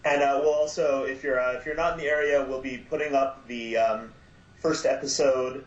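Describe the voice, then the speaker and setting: A man guesting on a podcast, stopping and starting with plenty of ums and uhs, then perking up whenever he retells a moment. He speaks at an everyday pitch. The sound is echoey and a little dull. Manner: halting, many fillers, lively when retelling. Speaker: guest, audio drama producer. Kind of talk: casual podcast chat.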